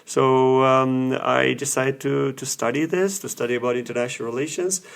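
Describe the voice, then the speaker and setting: Measured man. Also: mid-range voice, fairly even intonation, gravelly, clear, resonant tone. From Romania. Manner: measured, pausing often between phrases. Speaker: guest professor. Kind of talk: casual interview conversation.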